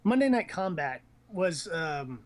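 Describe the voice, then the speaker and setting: A man's voice: a little high, big pitch swings, unhurried. A man hosting a casual gaming chat, rambling and thinking aloud with blunt opinions. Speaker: host of a gaming news show.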